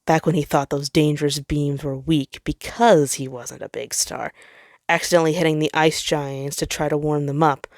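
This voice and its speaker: A woman's voice: low voice, dry recording, clean studio sound. A woman reading fan fiction aloud as narrator, in a dramatic storytelling style.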